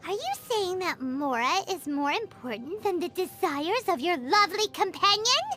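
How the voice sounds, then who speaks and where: A woman voicing a tiny, excitable, pouty fairy companion in a childlike, squeaky voice for a video game. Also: normal speed, full character voice. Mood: indignant, eager. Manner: refers to self in third person, exclamatory. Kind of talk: animated game voice acting. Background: background music.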